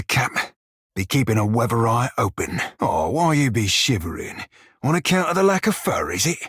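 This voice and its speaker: An actor voicing a wry, foul-mouthed pirate shiphunter in a video game, speaking a colloquial sailor's dialect with a gritty, husky voice. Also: male voice, mid-range voice, a bit bright, no room sound, studio quality. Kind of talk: video game character dialogue.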